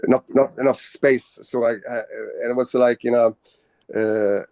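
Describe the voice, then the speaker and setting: Man, from Sweden, band vocalist, halting, many fillers, dry recording. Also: mid-range voice, steady tone, mellow, smooth, no echo. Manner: hesitant, many restarts and fillers. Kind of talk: casual interview answers.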